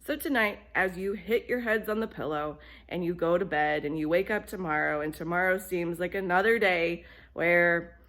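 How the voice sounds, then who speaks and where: A woman telling a children's bedtime story in an expressive, deliberate way, with emphasis on key phrases. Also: on the low side.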